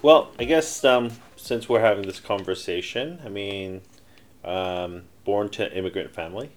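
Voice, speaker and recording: Deep-voiced middle-aged man, rambling. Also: unhurried, faint music behind. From Canada. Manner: rambling, many fillers and restarts. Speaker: interview guest, entrepreneur. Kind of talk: casual interview storytelling.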